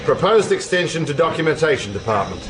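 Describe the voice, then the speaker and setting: A man's voice: a little high, sing-song, fast talker. An actor in a radio drama playing a crude, blustering, middle-aged Australian intelligence man who likes his drink and talks in slang. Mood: businesslike, confiding. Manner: brash, slangy, opinionated.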